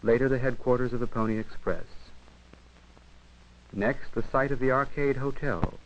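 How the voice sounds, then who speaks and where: An American man narrating a 1950s educational film in a measured, formal, literary manner. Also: mid-range voice. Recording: dull 1958 film soundtrack, radio-like.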